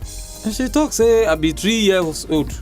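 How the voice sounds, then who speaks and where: A man's voice: sits high, lively intonation, slow pace, music in the background. An actor with a Nigerian accent playing a cheerful, idle young man in a radio drama, chatting in Pidgin and chuckling often. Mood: playful.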